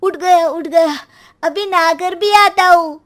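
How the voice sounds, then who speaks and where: A woman plays a cheeky young schoolboy in a cartoon. The voice is very high and the words come slowly, dragged out in a lazy, smart-alecky drawl. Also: fairly bright tone, heavily acted. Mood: whiny excuse. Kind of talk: Hindi cartoon story dialogue.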